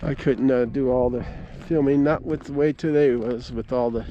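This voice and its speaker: A middle-aged man giving a casual, halting, folksy account as he vlogs outdoors at a park. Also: medium pitch, steady tone, mellow, highs cut off. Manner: halting, folksy, stop-and-start storytelling. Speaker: amateur radio operator vlogging. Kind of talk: casual rambling outdoor vlog. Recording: clear ambient noise outdoors. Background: ambient outdoor noise.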